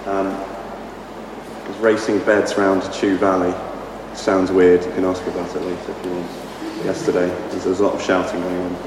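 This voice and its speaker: Man, slightly croaky, halting sermon, far from the mic, noisy room. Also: low-pitched, completely flat delivery. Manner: halting, with many restarts and ums. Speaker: preacher. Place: church hall. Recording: echoey hall from far off, noisy.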